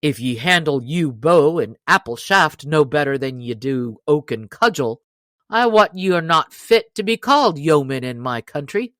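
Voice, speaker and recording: A woman's voice: deep voice, expressive, moderate pace, a bit bright, dry recording, studio quality. A woman reading a storybook, voicing a surly, proud young male archer with blunt, old-fashioned speech. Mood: surly, defiant.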